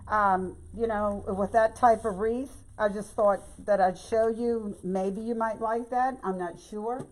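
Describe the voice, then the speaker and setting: An older woman with a hoarse voice and a Louisiana Southern accent, chatting folksily through a bow-making tutorial. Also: mid-range voice, fairly even intonation, measured pace, low-quality recording. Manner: chatty and folksy, keeps saying y'all. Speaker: craft tutorial host. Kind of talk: casual bow-making demonstration.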